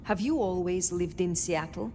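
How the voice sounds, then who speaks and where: An actress playing a stoic, blunt ancient vampire woman in a video game, speaking formally and deliberately. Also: fairly low pitch, noisy background, close to an everyday voice. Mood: reserved. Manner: formal, deliberate, terse, direct.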